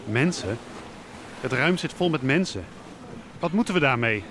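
An actor playing a dismayed, sceptical sailor in a radio drama. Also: male voice, medium pitch, expressive, normal speed, noisy background, lightly acted.

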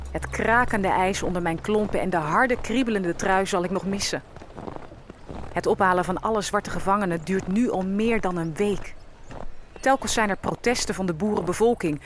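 A woman plays an enslaved African woman telling her story in a radio play. She is sorrowful and measured, at a middling pitch, with clear ambient noise behind her. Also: close to an everyday voice. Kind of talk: audio drama first-person narration.

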